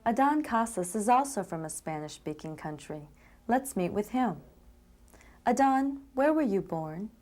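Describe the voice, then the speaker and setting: A woman's voice: mid-range voice, lively intonation, measured pace, a little mellow, soft background music. A woman interviewer asking short, plain scripted questions.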